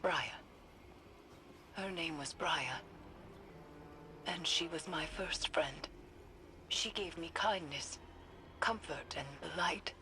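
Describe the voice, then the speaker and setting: A woman playing a solemn, haunted mystic in a video game, speaking gravely and portentously. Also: fairly low pitch, sharp tone. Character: solemn, haunted mystic woman who endured great loss. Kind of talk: dramatic video game acting. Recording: clear ambient noise behind.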